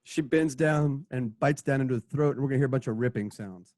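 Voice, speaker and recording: A woman's voice: extremely low pitch, brisk, very noisy. A woman narrating the stage directions of an audio drama, reading scripted action and sound cues.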